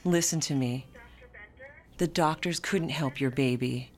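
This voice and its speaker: An actress playing a calm, controlled hospital nurse in charge in a radio drama, speaking in a measured, formal, clinical way. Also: female voice, deep voice. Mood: firm, soothing.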